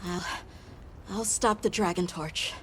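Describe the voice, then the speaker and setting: A woman's voice: lowish voice, slow, a little hiss or hum. A woman voicing an earnest, determined young boy who serves as a keeper, in video game cutscene dialogue. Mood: resolute.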